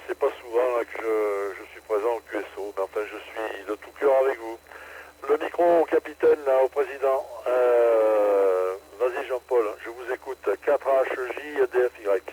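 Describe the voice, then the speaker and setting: An older man calling into an amateur radio net, chatting in a rambling, halting way and repeating words. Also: mid-range voice, crowd noise. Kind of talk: casual on-air chat. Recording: noisy radio transmission.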